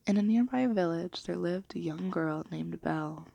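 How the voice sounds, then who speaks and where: Breathy, hushed woman speaking soft ASMR. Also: lowish voice, moderate pace, no room sound. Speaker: ASMR video host and storybook reader. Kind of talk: soft-spoken and whispered ASMR, chat and bedtime storybook reading.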